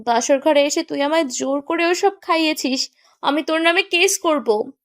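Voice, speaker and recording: A woman acts as a petulant young bride in a romantic audio drama. Her voice is high and girlish in pitch. She needles and reproaches, stubborn and bossy with her husband. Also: normal speed. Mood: tipsy, accusing. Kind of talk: romantic audio story acting.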